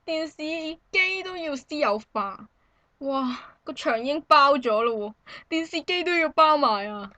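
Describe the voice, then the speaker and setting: A woman's voice: sits high, unhurried, no echo, heavily acted. A woman with a Hong Kong Cantonese accent acting an expectant young woman visiting a friend in a satirical radio drama, chatty, exclamatory and indignant. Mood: indignant, mocking. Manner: animated, exclamatory, colloquial.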